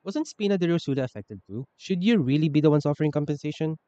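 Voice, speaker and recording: A woman's voice: low-pitched, lively intonation, fast, warm tone, no echo, lo-fi sound. A woman streaming a game, chatting casually and reading dialogue aloud. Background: faint game music.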